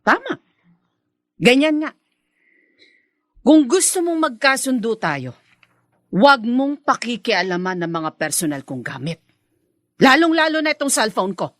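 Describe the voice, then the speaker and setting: Haughty, swooping domineering wife. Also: female voice, on the high side, big pitch swings, unhurried, pauses often, fairly bright tone, no room sound. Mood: defiant, irritated. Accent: Filipino. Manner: haughty, scolding. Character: defiant, manipulative, domineering wife and mistress. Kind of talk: Filipino radio drama acting.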